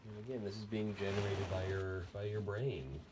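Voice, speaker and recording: A man leading an unscripted guided body meditation in a gentle, unhurried manner with long pauses. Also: lowish voice, steady tone, very noisy.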